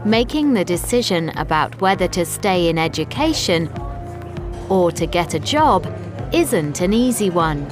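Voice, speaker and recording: A woman reads scripted documentary narration for learners in a measured, clearly enunciated way. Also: fairly low pitch, big pitch swings. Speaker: narrator of an educational video report. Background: background music.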